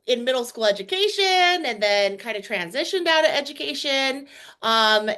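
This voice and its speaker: A woman's voice: high-pitched, a bit bright. A woman hosting an interview, upbeat and affirming, with casual fillers. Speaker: podcast host.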